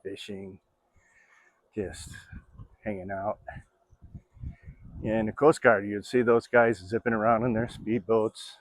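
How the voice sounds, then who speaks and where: A man's voice: fairly low pitch, little pitch movement, slow pace, long pauses, no room sound. A man in his sixties from Florida, chatting casually in a rambling vlog while riding a bike on an outdoor trail. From Florida, USA. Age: 60s. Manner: rambling, chatty, with many fillers. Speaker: vlogger.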